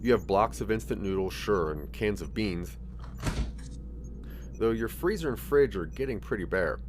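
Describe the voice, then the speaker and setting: A man reads scripted second-person fiction in a fairly low voice. His delivery is dramatic and animated, with pitch that moves up and down a good deal as he tells the story. There is clear background noise with a hum behind him. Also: moderate pace. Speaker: narrator of an interactive audio drama.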